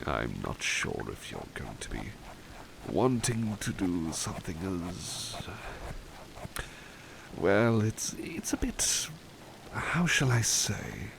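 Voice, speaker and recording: A man's voice: sits low, heavily acted. A man playing a devoted, mild-mannered butler in an ASMR roleplay, speaking politely and formally, with hesitant, musing phrasing. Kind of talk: ASMR roleplay, personal attention. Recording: clearly noisy ambience behind voice.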